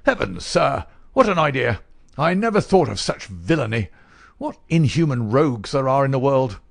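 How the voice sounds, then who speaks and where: A man reading aloud, voicing a querulous, miserly old male lord with a sharp crackling voice and an indignant, shrill manner. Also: big pitch swings, vocal fry. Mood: alarmed. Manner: shrill, indignant, rapid-fire.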